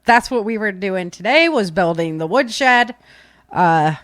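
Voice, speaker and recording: A woman's voice: medium pitch, lively intonation, unhurried, fairly bright tone, dry recording, studio quality. A woman hosting a podcast, chatting casually and giving practical tips in an informal, folksy, rambling way. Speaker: podcast host.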